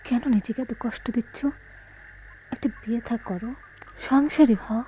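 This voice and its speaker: A woman's voice: on the high side, normal speed, warm tone, slight background noise, close to an everyday voice, rough recording. An actress playing a proud, tormented married woman in a Bengali radio drama, with theatrical, emotionally charged delivery. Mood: concerned, subdued.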